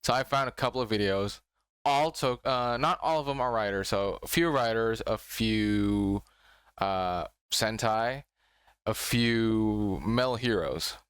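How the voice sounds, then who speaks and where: A man's voice: on the low side, measured pace, a bit bright, clean tone, no echo, clean studio sound. A young man from Indonesia, Indonesian as his first language, chatting casually and nostalgically as a reaction video host, rambling with fillers.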